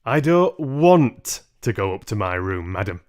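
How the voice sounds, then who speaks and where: A man's voice: lowish voice, big pitch swings, a little slow, darkish tone, clear, resonant tone. A man voicing a large, coarse, easily irritated father in a brusque, pompous manner for a storybook. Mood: stubborn, annoyed.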